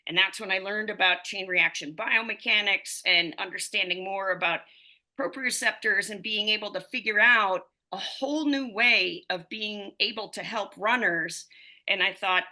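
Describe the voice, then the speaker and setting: A woman's voice: medium pitch, moderate pace, pushed and bright. A woman in her fifties, a running coach and physical therapist, telling her story as a podcast guest in a loose, rambling way full of fillers. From United States. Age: 50s. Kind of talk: conversational podcast interview.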